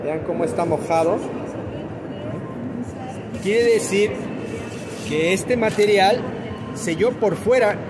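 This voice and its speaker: A man hosting a casual trade fair video, excitedly pointing things out to viewers. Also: high-pitched, takes it slowly, long pauses, over loud music. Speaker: vlogger, host. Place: busy trade fair hall. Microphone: handheld phone camera. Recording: loud crowd noise around.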